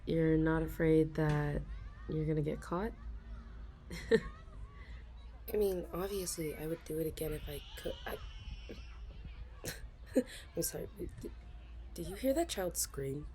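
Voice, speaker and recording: A young woman in a soft ASMR role-play, confiding hesitantly as an anxious office worker, sighing and stopping to restart her sentences. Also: sits low, lively intonation, a little hiss or hum. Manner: hesitant and self-deprecating, with frequent sighs and halting restarts.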